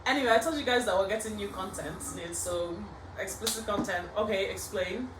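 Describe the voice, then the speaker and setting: A woman's voice: mid-range voice, fairly quick, far from the mic. A young woman hosting a casual podcast, teasing and playful, using slangy chat. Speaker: podcast host. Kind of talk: casual banter interview. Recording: roomy, off-mic, faint background hiss.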